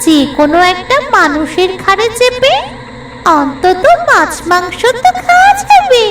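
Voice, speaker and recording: A woman voicing a greedy, gleeful female tree ghost in a cartoon, with drawn-out, gloating delivery. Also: very high-pitched, wildly swooping pitch, distant mic, full character voice. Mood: greedy delight. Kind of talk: cartoon voice acting. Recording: loud music behind the voice.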